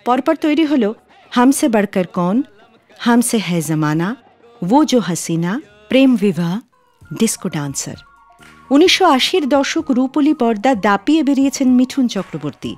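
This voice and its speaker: A woman's voice: on the high side, a little mellow. A woman hosting a radio show, reading scripted narration. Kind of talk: scripted radio narration about film music.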